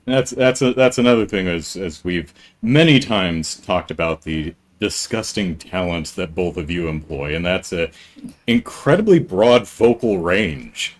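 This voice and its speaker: Low-voiced man hosting a podcast. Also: expressive, a little slow. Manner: rambling, many ums and restarts. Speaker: podcast host. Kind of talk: casual live-stream interview.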